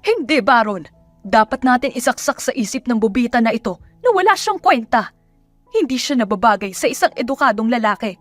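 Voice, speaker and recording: A woman acts out a furious middle-aged mother from the provinces in an audio drama. Her voice climbs very high as she shouts and scolds with open contempt. It is recorded close and dry over music. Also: big pitch swings, a little fast, dry recording. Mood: contemptuous. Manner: shouting, scolding, spitting out harsh questions. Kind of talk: Tagalog audio drama acting.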